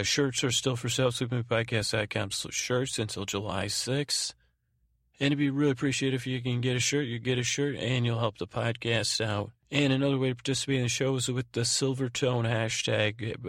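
Creaky-voiced, rambling male podcast host. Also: lowish voice, no room sound, clean studio sound. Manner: meandering, halting, trailing off mid-thought. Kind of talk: rambling bedtime podcast monologue.

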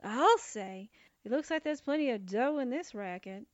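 A woman's voice: on the high side, expressive, moderate pace, no room sound, dull audio, natural voice. An actress playing a brash, wisecracking young newspaperwoman with slangy, chatty delivery in a radio drama style. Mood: wry, wisecracking. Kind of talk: 1940s radio drama acting.

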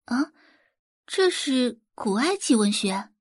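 A woman voicing a sweet, falsely considerate young society daughter who asks things softly and innocently in an audio novel. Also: a little high, very animated, takes it slowly, slightly rough, dry recording, studio quality, pitched above the performer's own voice, full character voice. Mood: mildly surprised.

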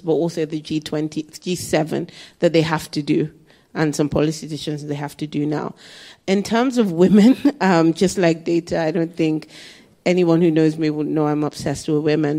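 A woman answers audience questions at a talk in a candid, animated way, breaking off into quick asides and restarting her sentences. Her voice sits a little low for a woman. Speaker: speaker on development finance and energy.

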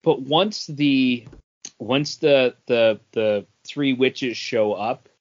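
A man's voice: mid-range voice, drawn-out words. A middle-aged man co-hosting a podcast, chatting casually about comics. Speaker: podcast co-host. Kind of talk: casual comic book chat. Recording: dull, muffled lo-fi audio.

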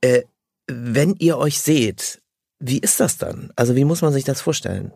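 A man's voice: medium pitch, animated, measured pace, vocal fry, warm tone, breathy edge, no room sound, studio quality. A man chatting casually as an interviewer, putting informal questions to his guest. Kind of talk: casual chat.

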